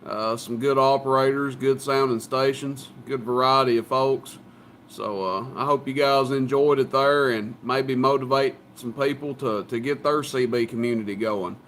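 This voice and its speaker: A man's voice: medium pitch, steady tone, moderate pace, a bit bright, slight background noise. A man chatting casually as the host of a CB radio live stream. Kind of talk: casual chat.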